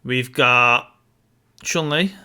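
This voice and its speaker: A man's voice: medium pitch, very slow, sharp tone, smooth. A man co-hosting a podcast, talking casually about a film. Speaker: podcast co-host.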